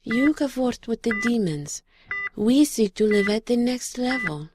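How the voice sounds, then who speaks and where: A resolute mystic woman speaks in gentle, deliberate and formal phrases. She is solemn, in an audio drama scene with clear noise behind her. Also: a little high, lively intonation. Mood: tender, resolute. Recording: clearly noisy background.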